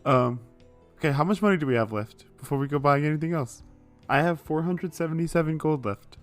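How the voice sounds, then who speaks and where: A man's voice: a little high, fairly quick, soft background music. A man speaking casually as a player in a tabletop role-playing game. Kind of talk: casual chat in actual play.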